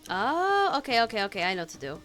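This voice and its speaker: A woman's voice: fairly high pitch, big pitch swings, quick pace, fairly bright tone. A woman game streamer giving casual, chatty gameplay commentary, thinking aloud as she plays. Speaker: game streamer reacting to a story quest. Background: game music.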